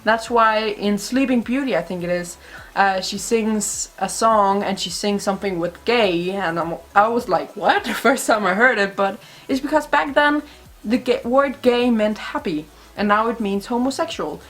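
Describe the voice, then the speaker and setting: A woman's voice: mid-range voice, moderate pace, a bit bright. A young woman with a Danish accent, a native Danish speaker, chatting bluntly and casually to camera in a vlog, swearing freely and laughing often. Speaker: vlogger.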